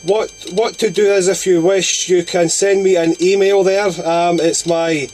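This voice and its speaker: A Scottish man chatting courteously and haltingly as an amateur radio operator making a long-distance contact. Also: high-pitched, fairly even intonation, darkish tone. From Scotland.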